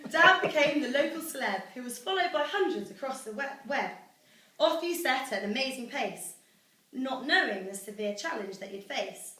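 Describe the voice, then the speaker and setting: Bright, lively British woman in an echoey room. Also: high voice, moderate pace. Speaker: daughter reading her own celebratory poem. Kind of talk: rhyming speech read aloud to a gathering. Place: echoey room at a family celebration. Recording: echoey room, distant mic, faint noise.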